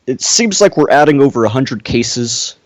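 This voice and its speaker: A man's voice: medium pitch, expressive, normal speed, dry recording. A man from Wisconsin giving a casual conversational update as a guest on a show. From Wisconsin, United States.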